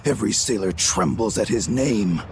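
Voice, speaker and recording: Gravelly, cowardly demon sailor. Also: male voice, fairly low pitch, expressive, normal speed, noisy background. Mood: awed warning. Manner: dramatic, nervously boastful. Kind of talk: English video game voice acting.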